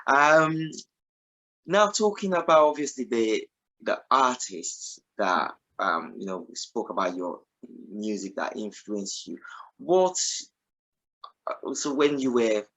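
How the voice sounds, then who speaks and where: A man's voice: mid-range voice, sing-song, slow, bright, forceful tone, rough recording. A man hosting a radio interview, encouraging his guest and asking long, rambling questions full of fillers. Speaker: radio host. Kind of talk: casual interview chat.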